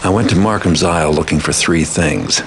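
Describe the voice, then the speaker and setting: An actor playing a cynical master thief for hire in a video game, sardonic and blunt, with a gravelly voice. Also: male voice, low-pitched, a little fast, slight background noise. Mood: grim, wry. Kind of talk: dramatic video game cutscene dialogue. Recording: background music under the voice.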